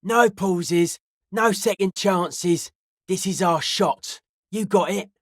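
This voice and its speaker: A young raver from a video game, a blunt tech tinkerer with a notably high voice for a man. He talks in clipped slang with a wry, wary edge. The sound is close and dry. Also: slow, no room sound. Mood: intense, firm. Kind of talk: video game character dialogue.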